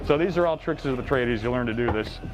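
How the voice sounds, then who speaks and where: A man's voice: medium pitch, fast, rough recording. A man talking casually and explaining things step by step, like an announcer giving a behind-the-scenes tour. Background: background music.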